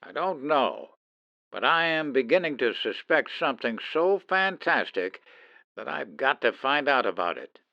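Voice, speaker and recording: A man's voice: medium pitch, big pitch swings, unhurried, creaky, bright, forceful tone, no room sound, dull audio, exaggerated voice. A man playing an authoritative, protective middle-aged father and officer in an old-time radio drama, urgent and theatrical. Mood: determined. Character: commanding, protective father, suspicious military officer.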